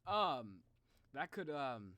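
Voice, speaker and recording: Young man, bright forceful voice, very lively pitch, casual streamer commentary, rambling, thinking aloud. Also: extremely slow, no room sound. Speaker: live streamer reacting to game videos. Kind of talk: casual livestream commentary and speculation.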